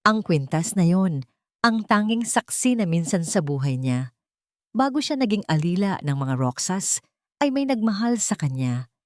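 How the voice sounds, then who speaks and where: A woman's voice: lowish voice, big pitch swings, measured pace, darkish tone, no echo, clean studio sound. A woman reading a scripted story as an audiobook narrator, in a dramatic storytelling style.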